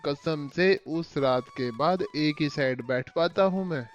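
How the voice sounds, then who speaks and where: A man's voice: on the high side, unhurried, lo-fi sound, faint music behind, lightly acted. A man voicing a timid, henpecked elderly owl uncle in a children's cartoon, avuncular and fretful. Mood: rueful. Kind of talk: Hindi cartoon voice acting for children.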